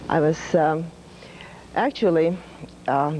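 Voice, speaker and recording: An older woman from Hungary with a Hungarian accent, a retired professor telling her life story in a measured way, with many fillers. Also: deep voice, slight background noise, poor audio. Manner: measured, with fillers and soft laughs. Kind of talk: reflective storytelling.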